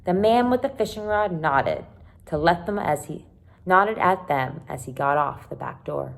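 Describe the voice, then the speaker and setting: A woman's voice: low-pitched, lively intonation, measured pace, creaky. A woman reading a children's chapter book aloud like a teacher, expressively, with explanatory asides for young listeners. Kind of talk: read-aloud storytelling with short explanatory asides.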